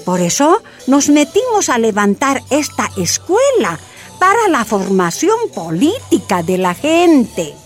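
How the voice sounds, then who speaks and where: A woman's voice: high-pitched, huge pitch swings, slow, full character voice. An actress playing a frank, determined Bolivian miners' leader and mother in a radio drama, with a Bolivian accent. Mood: firm, earnest. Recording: music underneath.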